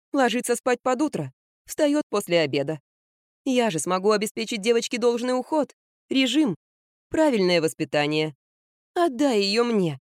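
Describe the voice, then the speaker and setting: A woman's voice: fairly high pitch, dry recording, studio quality, pitched above the performer's own voice, natural voice. A woman voicing an insistent older grandmother, pleading and coaxing her daughter in a raised, emphatic voice.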